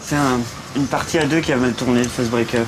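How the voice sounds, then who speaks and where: A man joining as a guest friend, trading dry, deadpan lines in casual banter. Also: mid-range voice, brisk, background noise. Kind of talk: casual banter while playing.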